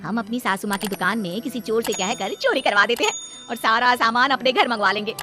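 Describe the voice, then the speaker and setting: A woman's voice: fairly high pitch, a little fast, music in the background, lightly acted. A woman voicing a sly, plotting elder daughter-in-law in a cartoon story. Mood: scheming.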